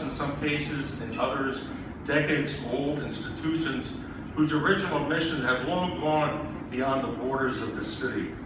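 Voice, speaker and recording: A man whose first language is Polish delivers a stately gala speech, building his phrases like an orator and slipping in wry jokes. He is caught from a distance in a very echoey hotel banquet hall, with loud room ambience all around. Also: mid-range voice, background noise. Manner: oratorical, with wry joking asides. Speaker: gala speaker. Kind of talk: formal after-dinner speech.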